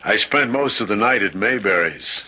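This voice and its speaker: An actor playing an earnest, compassionate hospital chaplain with a grave manner in an old-time radio drama. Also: male voice, on the low side, animated, normal speed, sharp tone, exaggerated voice, poor audio.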